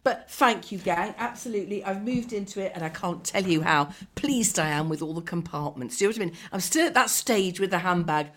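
A woman's voice: fairly low pitch, fairly quick, few pauses. A woman co-hosting a podcast in casual chat, eagerly chiming in and egging the other on. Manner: chatty, eager back-channel listener. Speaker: podcast co-host.

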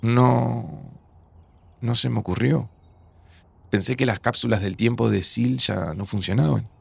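Gravelly, grieving Argentine man. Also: medium pitch, unhurried, no room sound, full character voice. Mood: resigned. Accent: Rioplatense Argentine. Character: grieving, resigned widower in a near-future Argentina. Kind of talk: naturalistic audio drama dialogue.

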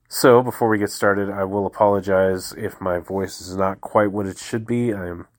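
A man with a head cold, hosting a daily prayer podcast and reading the liturgy in a calm, measured way. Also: sits low. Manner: calm, measured, devotional reading with slow pauses.

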